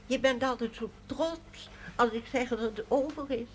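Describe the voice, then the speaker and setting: A woman's voice: a little high, normal speed, background noise, lightly acted. An actress playing a meek, trusting and devout village woman in a television drama, soothing and deferential. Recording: television soundtrack with busy background chatter.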